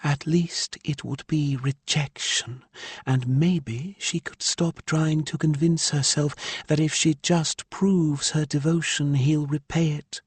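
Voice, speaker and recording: A man's voice: on the high side, fairly even intonation, slightly rough, no echo, pitched above the performer's own voice. A man voicing an irritated, exasperated mother in an audiobook, with an edgy, emphatic delivery. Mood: annoyed. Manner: edgy, drawn-out emphatic speech. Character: irritated, exasperated wife and mother, female healer.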